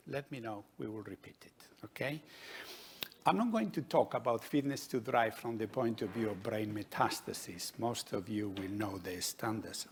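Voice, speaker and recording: A man with a Spanish accent giving a measured, explanatory conference talk as a doctor. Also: lowish voice, gravelly, slight background noise. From Spain. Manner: measured, explanatory, with light humour. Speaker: conference speaker, DVLA doctor. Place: conference hall.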